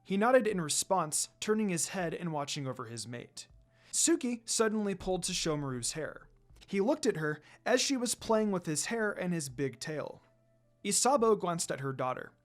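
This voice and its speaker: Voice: mid-range voice, sing-song, moderate pace, faint music behind. A narrator reading a scripted fan fiction story aloud.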